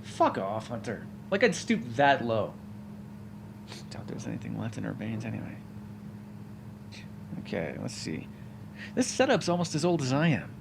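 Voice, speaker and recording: A sardonic male vampire, acted out by a man in an intimate audio roleplay. His mid-pitched voice swings widely and crackles with fry as he grumbles, wry and exasperated, at the listener. There is faint noise behind him. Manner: sarcastic, wry, exasperated, conversational. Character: sardonic, foul-mouthed male vampire, grudgingly helpful, hungry. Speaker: roleplay voice actor.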